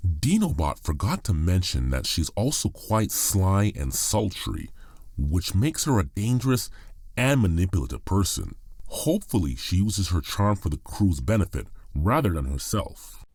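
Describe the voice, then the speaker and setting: A man's voice: low-pitched, big pitch swings, unhurried, darkish tone, slightly rough, no room sound, studio quality. A man reading scripted narration for an audio drama.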